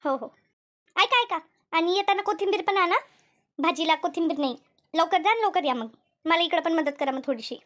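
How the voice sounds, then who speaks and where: Woman voicing a shrill, grumbling cartoon village housewife. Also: fairly quick, pauses often, a bit bright. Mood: bossy, complaining. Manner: colloquial, repetitive, grumbling. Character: Shanta, complaining rural Marathi housewife and mother. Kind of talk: comedy cartoon dubbing, everyday domestic chat.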